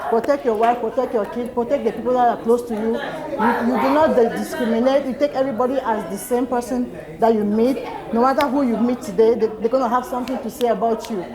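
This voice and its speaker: A woman's voice: fairly high pitch, fairly even intonation, fast talker, poor audio. A woman giving a heartfelt birthday toast, earnest and laughing between phrases. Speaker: party guest giving a toast. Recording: crowd noise at a party.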